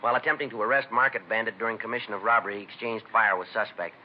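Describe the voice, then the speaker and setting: An actor playing a weary, businesslike police detective in a 1950s radio crime drama, terse, deadpan and matter-of-fact. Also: male voice, on the low side, rapid-fire, close to an everyday voice. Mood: official, urgent. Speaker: police detective narrating the case. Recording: muffled, noisy vintage radio recording.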